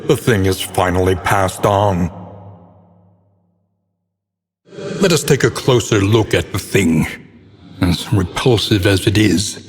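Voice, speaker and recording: Grave gothic game narrator, male, low-pitched, raspy and gravelly, solemn, ominous, theatrical, long reverb tail. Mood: grave, analytical. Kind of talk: scripted dramatic game narration.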